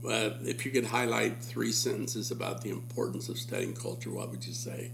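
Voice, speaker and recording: A man interviewing a guest, with a low, heavily gravelly voice full of fry. He encourages and praises her answers, and a faint hum runs behind him. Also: wildly swooping pitch, moderate pace. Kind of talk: interview conversation.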